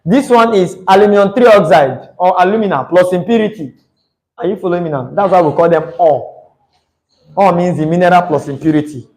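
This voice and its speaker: A man's voice: high voice, fairly quick, a little mellow, low-quality recording. A young Nigerian man with a Nigerian accent, teaching a chemistry class live, chatty and teasing, slipping into Pidgin. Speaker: chemistry tutor.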